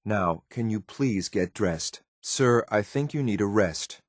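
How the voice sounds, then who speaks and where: An actor playing a loyal, coldly disdainful synth soldier in a video game, speaking in a clipped, military, deferential but blunt way. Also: male voice, on the low side, expressive, slow pace, no room sound, clean studio sound. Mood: disapproving. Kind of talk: video game companion voice lines.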